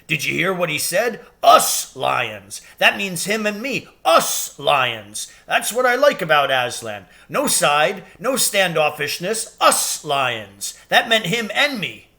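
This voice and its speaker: A man voicing an excitable, simple-hearted lion who gushes proudly and repeats himself in a storybook reading. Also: sits high, takes it slowly, gravelly, hardly any pauses, full character voice. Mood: giddy, proud. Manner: gushing, repeating himself eagerly. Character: excitable, boastful, simple-hearted male lion, starstruck follower.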